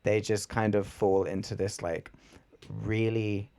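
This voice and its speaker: A man's voice: fairly low pitch, level tone, measured pace, dark tone. A young man co-hosting a podcast, talking in a rambling, laid-back way with lots of fillers. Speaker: podcast co-host. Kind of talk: casual chat.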